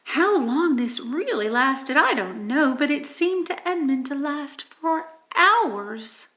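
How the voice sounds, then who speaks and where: A woman reading a children's story aloud in an expressive, dramatic manner, with emphatic stresses and hushed moments. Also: high voice, takes it slowly. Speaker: audiobook reader.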